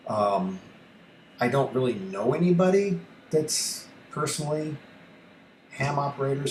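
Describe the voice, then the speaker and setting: A man thinking aloud through a casual screen tutorial as a hobby radio host, halting and muttering to himself as he works. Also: mid-range voice. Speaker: amateur radio YouTuber, host. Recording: echoey room with faint background noise.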